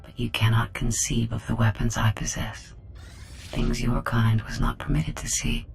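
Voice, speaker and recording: A man voicing a cold, contemptuous, menacing artificial intelligence in a game, speaking in a measured, haughty, formal way. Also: mid-range voice, level tone, gravelly, music in the background, lightly acted. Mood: cold menace. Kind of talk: game cutscene voice acting.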